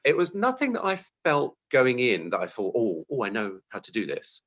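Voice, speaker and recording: A man's voice: medium pitch, wildly swooping pitch, normal speed, fairly bright tone, highs cut off. A British man giving chatty, self-deprecating, conversational answers as an interview guest.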